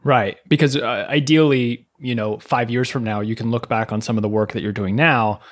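A man hosting a podcast, asking relaxed, curious questions in casual conversation. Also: mid-range voice, moderate pace. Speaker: podcast host.

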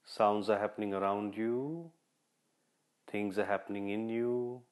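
A man leading a guided meditation in short, repeated instructional phrases, measured and with long pauses. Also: lowish voice, fairly even intonation, a little mellow. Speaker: meditation guide, podcast host.

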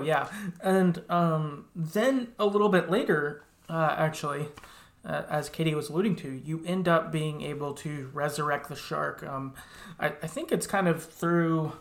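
Male podcast host. Creaky voice, easygoing conversational recap, slightly hesitant phrasing. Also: a little high. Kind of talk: casual chat recapping a game.

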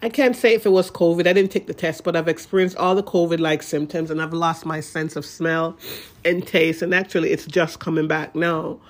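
A blogger with a congested nose, so every word comes out nasal. They read a written passage aloud at a measured pace. When they explain it in their own words, they stall and repeat themselves. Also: mid-range voice, steady tone. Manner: halting and repetitive when speaking off the page. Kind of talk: reading a relationship post aloud, then explaining it.

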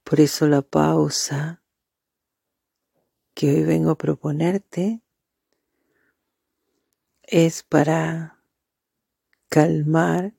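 A meditation guide speaking softly and breathily in a calming, deliberate way with marked pauses. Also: mid-range voice, vocal fry, slightly rough, no room sound, studio quality.

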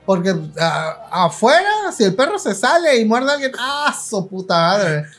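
A man hosting a podcast in casual chat, with a Mexican Spanish accent, slangy and quick to laugh as he reads comments. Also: high-pitched, very animated, fairly bright tone, faint music behind. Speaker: podcast host.

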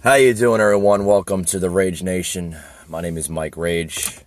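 A hoarse man hosting a podcast, talking casually with a halting manner and many fillers. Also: deep voice, fairly even intonation, heavy background noise. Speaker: podcast host. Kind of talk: casual talk.

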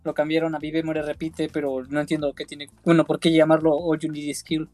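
A man co-hosts a casual chat. He speaks a little high and keeps hesitating as he talks, with faint music in the background. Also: little pitch movement, a little mellow, no room sound. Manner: halting, hesitant. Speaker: podcast co-host.

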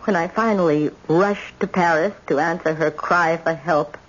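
A woman's voice: fairly low pitch, normal speed, lightly acted. An actress playing a tough, proud older grandmother in an old-time radio drama, with dramatic, emphatic delivery. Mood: bitter. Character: tough, proud widowed grandmother confessing family tragedy. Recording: muffled, noisy old radio recording.

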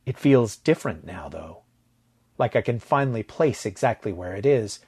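A man with a husky voice narrating a story in a dramatic, deliberate way, letting phrase endings drop to a hush. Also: on the low side, lively intonation. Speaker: audio fiction narrator.

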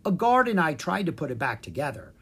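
A haughty winter troll princess from a storybook, put on by a woman, curt and easily irritated. The voice is husky, with animated pitch. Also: brisk, full character voice. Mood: grave. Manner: curt, commanding.

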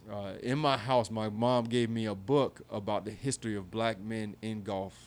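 A man's voice: lowish voice, fairly even intonation, normal speed. A man in his thirties co-hosting a conversational discussion, earnest and reflective, often restarting his sentences. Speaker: podcast co-host.

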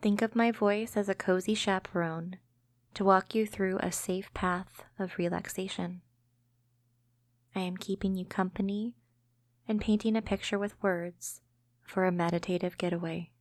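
A woman hosts a guided relaxation, reading scripted imagery in a calming, measured manner. Her medium-pitched voice stays quite level, with little rise or fall. The sound is dry, free of room echo. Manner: gentle, calming, measured delivery. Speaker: host and narrator of a guided meditation podcast.

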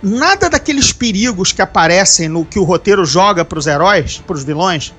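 Animated Brazilian podcast host, swearing freely. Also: medium pitch, moderate pace, breathy edge, faint music behind. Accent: Brazilian Portuguese. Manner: animated, swearing, talks over and restarts often. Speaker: author of a novel. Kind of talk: casual podcast chat.

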